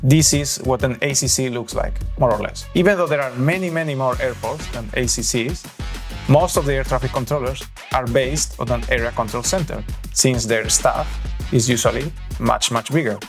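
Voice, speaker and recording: A man's voice: mid-range voice, normal speed. Spanish-accented man explaining over background music. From Spain. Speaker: presenter of an aviation explainer. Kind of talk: explanatory narration, lightly scripted.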